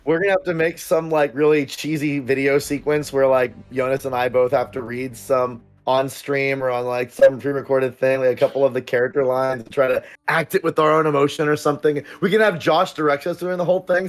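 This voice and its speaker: A man hosting a casual livestream and chatting to viewers in a rambling, upbeat way full of fillers. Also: mid-range voice. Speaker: producer and stream host.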